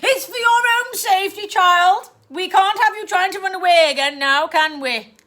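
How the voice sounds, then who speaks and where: A woman doing a shrill, scheming cruel aunt. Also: moderate pace. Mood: theatrical menace. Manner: loud, clipped, sickly-sweet baby talk.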